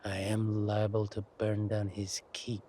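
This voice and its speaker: A man playing a villainous king in an audio roleplay, silky and amorous yet quietly furious, with deliberate, mock-polite speech. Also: fairly low pitch, completely flat delivery, slight background noise, close to an everyday voice. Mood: coldly angry.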